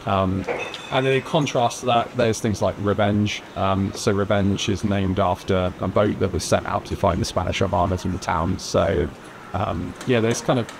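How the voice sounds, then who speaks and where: Low, gravelly southern English man. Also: steady tone, moderate pace. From Lyme Regis, southern England. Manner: informal, hedging with "kind of" and "you know". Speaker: brewery owner being interviewed. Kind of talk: casual interview. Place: outdoor courtyard by a stone wall. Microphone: lapel mic. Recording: clear outdoor street noise.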